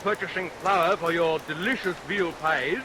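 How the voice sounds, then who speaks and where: An actor playing a scheming, murderous villain barber in a vintage radio melodrama, declaiming theatrically and relishing each threat. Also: male voice, a little high, moderate pace, natural voice. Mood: sly, mocking. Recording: vintage radio recording under rain noise.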